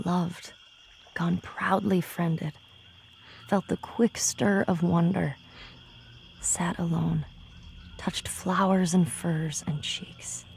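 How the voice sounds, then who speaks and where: A woman reading poetry and quotations for game audio logs in a soft, contemplative, measured way with long pauses. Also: lowish voice, steady tone, creaky, a little hiss or hum, exaggerated voice. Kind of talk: reflective recitation of poetry and quotations. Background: game ambience.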